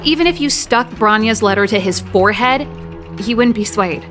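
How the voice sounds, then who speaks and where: An actress voicing a wry, candid young exiled scientist in a video game, talking in a reflective, articulate way. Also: female voice, a little high, moderate pace, close to an everyday voice. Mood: wry, practical. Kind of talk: scripted dramatic game dialogue. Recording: loud background music under the voice. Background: game cutscene music.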